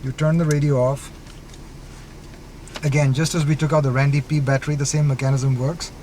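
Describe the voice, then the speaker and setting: A man's voice: fairly high pitch, level tone, fairly quick, dark tone. A man giving a casual product demonstration inside a car, explaining things step by step. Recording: clear car-interior background noise. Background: vehicle ambience.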